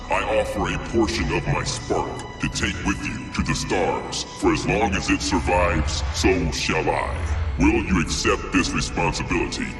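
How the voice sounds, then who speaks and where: An actor playing an ancient, dying robot elder in a video game cutscene, grave, solemn and ceremonial. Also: male voice, low-pitched. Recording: echoey, distant, loud music behind.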